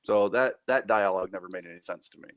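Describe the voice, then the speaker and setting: A man's voice: deep voice, quick pace, no echo, studio quality. A man co-hosting a casual podcast, chatting about plot details in a quizzical, jokey way. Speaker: podcast host. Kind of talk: casual chat.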